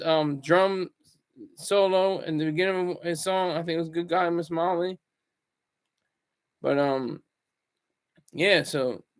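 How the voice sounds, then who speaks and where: A man hosting a casual solo commentary vlog, rambling and restarting his words, swinging between loud bursts and low asides. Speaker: commentary channel host.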